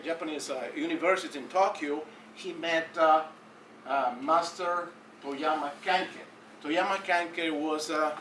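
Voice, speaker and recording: Man from cuba, halting speech with many fillers, bright tone, distant roomy hall sound. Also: on the high side, a little hiss or hum. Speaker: audience member at academic taekwondo conference. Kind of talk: unscripted comments from the floor.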